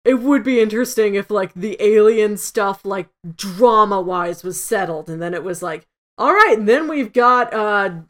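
A woman's voice: medium pitch, normal speed, few pauses. A woman co-hosting a casual review discussion, often acting out imagined quotes as she explains her thoughts. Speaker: podcast co-host reviewing an audio drama.

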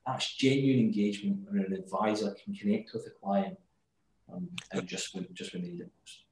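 Glasgow guy being interviewed, lowish voice, keeps restarting words, big echoey room. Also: expressive, normal speed, darkish tone, a little hiss or hum. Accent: Glaswegian. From Scotland. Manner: thoughtful, restarts words often. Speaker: guest, company executive. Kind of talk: remote interview.

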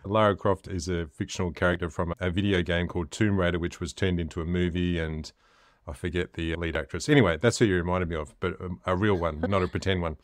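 A man with a very low voice hosts an interview show, friendly and a little rambling as he sets up questions. The recording is dry with no room sound. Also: fairly even intonation, quick pace, no room sound. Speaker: podcast host. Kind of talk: casual interview chat.

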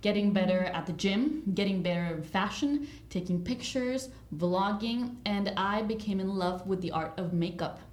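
A woman in her twenties from El Salvador, talking as a podcast guest with upbeat, eager energy. Her voice sits at an ordinary middle pitch, and it rings a little in a roomy, echoey space. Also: moderate pace, few pauses, darkish tone. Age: 20s. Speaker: guest, image consultant. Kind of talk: casual podcast interview. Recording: roomy echo.

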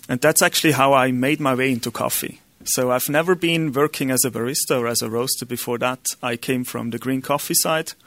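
A man's voice: medium pitch, creaky, fairly bright tone, no room sound. A middle-aged man with an Austrian German accent, a non-native speaker giving a conference lecture with many ums and restarts.

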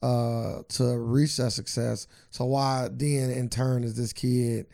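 Gravelly, mellow-voiced podcast co-host, man. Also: medium pitch, flat delivery, slow, dry recording, clean studio sound. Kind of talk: casual sports chat.

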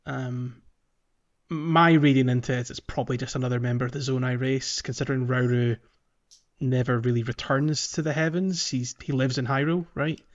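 A man's voice: mid-range voice, fairly even intonation, fairly quick. Man podcasting in slightly dull lo-fi sound. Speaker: podcast host. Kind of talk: casual game walkthrough chat, some reading aloud.

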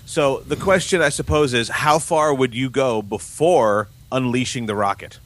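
A man's voice: medium pitch, moderate pace. A man co-hosting a casual podcast, reading news stories with wry, joking commentary. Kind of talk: casual comedic news chat.

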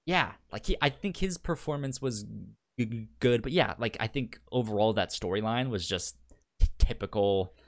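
Halting, casual man co-hosting a podcast. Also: mid-range voice. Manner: halting, stammering, repeats words and sounds. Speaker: podcast co-host reviewing TV. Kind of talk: casual chat.

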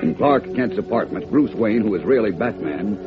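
A man's voice: fairly low pitch, expressive, moderate pace. A man narrating a vintage radio serial as announcer in a suspenseful, theatrical style. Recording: muffled old radio, loud music. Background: loud music.

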